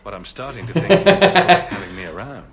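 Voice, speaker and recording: Wry, lively adventurer hero. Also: male voice, medium pitch, fast talker. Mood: wry, amused. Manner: wry, teasing. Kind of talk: fantasy video game acting. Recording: game audio under clear noise.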